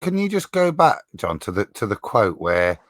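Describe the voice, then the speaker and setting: A man on a discussion panel, talking casually in a halting, circling, indignant way. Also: lowish voice, sing-song. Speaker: panelist on a live show.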